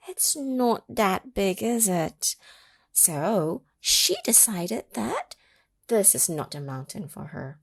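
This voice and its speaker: A woman reading a picture book aloud to children, pausing to ask them questions and sometimes dropping to a whisper. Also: mid-range voice, creaky, breathy edge, no room sound, studio quality. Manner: animated, asks the listening children questions; drops into whispers for effect. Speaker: children's story reader. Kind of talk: read-aloud storytime for children.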